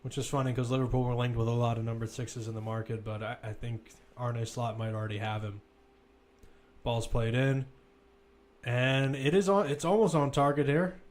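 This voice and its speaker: A man's voice: medium pitch, fairly even intonation, normal speed. A man giving live football play-by-play commentary, describing the action as it runs, with asides and self-corrections.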